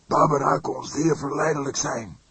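A fiery, pious father declaims solemnly in an audiobook. His voice is pushed high and forceful, with a husky grain. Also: fairly even intonation, moderate pace, dry recording, exaggerated voice. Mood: solemn, preachy. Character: fervent, pious long-haired religious father, solemn and preachy. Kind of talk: audiobook character acting.

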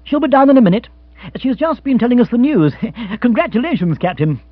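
A man voicing an excitable, hearty young lord. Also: high-pitched, normal speed, breathy edge, no room sound, phone-quality sound, pitched above the performer's own voice, full character voice.

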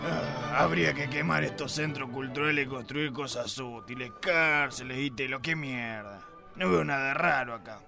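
A man acting a crude, bigoted, corrupt male police commissioner in an amateur radio play, barking insults in a gravelly voice. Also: medium pitch, normal speed, music in the background, close to an everyday voice. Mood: gruff rant. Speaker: radio drama actor.

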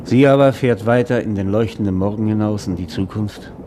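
Ein Schauspieler spielt in einem deutschen Hörspiel einen alternden, trinkenden Drehbuchautor, der schwärmerisch und pathetisch erzählt. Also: male voice, fairly low pitch, brisk, warm tone, slight background noise, natural voice. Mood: rapturous, dreamy. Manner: theatrical, rhapsodic, savoring his words. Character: drunken, aging screenwriter, dreamy and grandiose storyteller. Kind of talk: German radio drama acting.

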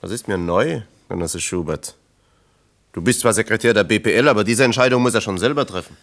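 Earnest, deep-voiced factory comrade. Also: male voice, brisk, a bit bright. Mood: questioning, insistent. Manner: earnest, persuasive, plain-spoken. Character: earnest, persistent East German factory comrade pleading for colleague. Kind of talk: German radio play acting.

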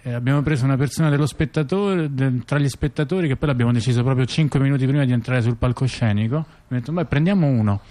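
A man's voice: mid-range voice, darkish tone. A man, a theatre performer being interviewed, telling stories in a chatty, rambling, colloquial way. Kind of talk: casual spontaneous storytelling in an interview.

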